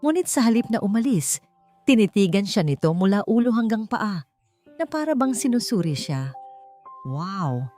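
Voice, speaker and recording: A woman's voice: fairly high pitch, big pitch swings, normal speed, warm tone. A woman with a Filipino accent reading a scripted story as an audiobook narrator. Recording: music bed under the voice. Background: background music.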